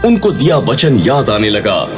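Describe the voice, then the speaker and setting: A man narrates a dramatic scripted story. His medium-pitched voice moves with lively intonation, and music plays clearly behind him. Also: unhurried.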